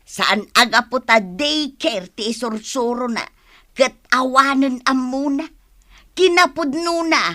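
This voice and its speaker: A woman's voice: high voice, slow, bright, forceful tone, full character voice. An actress playing a sensible village woman who scolds a gossip in an Ilocano radio drama, earnest and reproving.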